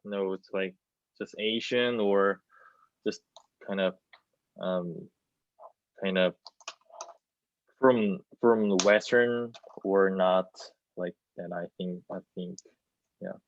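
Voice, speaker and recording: Man in his twenties, non-native English, slow halting delivery, student panelist, dry lo-fi call audio. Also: low voice, steady tone, no room sound. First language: not English. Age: 20s. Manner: hesitant, halting, searching for words. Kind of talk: informal panel discussion. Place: plain room indoors. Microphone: wireless earbuds on video call. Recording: dull lo-fi video call.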